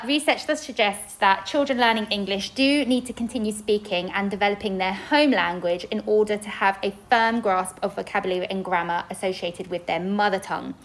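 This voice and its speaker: A woman's voice: mid-range voice, fairly bright tone. Earnest woman teacher, Polish native speaker. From Poland. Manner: earnest, with occasional restarts. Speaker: inclusion teacher. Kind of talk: podcast-style school presentation for parents.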